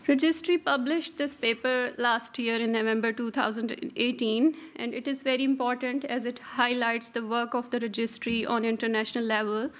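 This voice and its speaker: A woman's voice: on the high side, a bit bright. A woman in her thirties giving a conference presentation at a podium microphone, with a careful, hesitant delivery and frequent fillers. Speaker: registry coordinator presenting.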